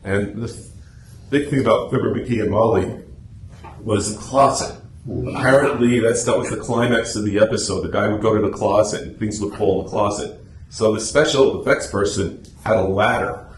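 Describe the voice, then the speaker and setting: A man speaks informally to a club, explaining and telling anecdotes. His voice sits on the low side, in an echoey meeting room with faint noise behind him. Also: moderate pace. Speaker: guest speaker, radio drama society officer. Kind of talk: informal club presentation and discussion.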